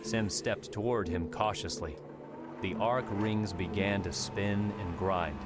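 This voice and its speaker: A man narrating an audiobook in a steady, measured storytelling voice. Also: on the low side. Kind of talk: scripted narration. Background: music.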